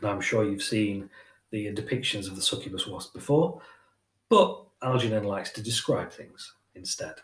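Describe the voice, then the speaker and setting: A man's voice: lowish voice, lively intonation, moderate pace, echoey room. A man giving a dramatic reading of poetry, with a short spoken introduction. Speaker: poetry reader.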